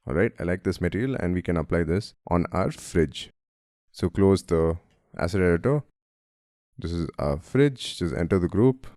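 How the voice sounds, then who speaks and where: A man from India with a cold, narrating a friendly software tutorial and calling his viewers guys. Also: low voice, no room sound, clean studio sound. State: has a cold, voice deeper than usual. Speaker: software instructor. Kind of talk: screen-recorded tutorial narration.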